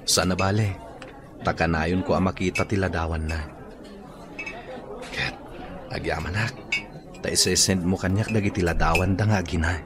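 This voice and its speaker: A man's voice: deep voice, steady tone, moderate pace, long pauses, a crowd in the background, noisy background, natural voice. An actor playing an earnest grown son, a young businessman devoted to his mother, in a radio drama. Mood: wistful.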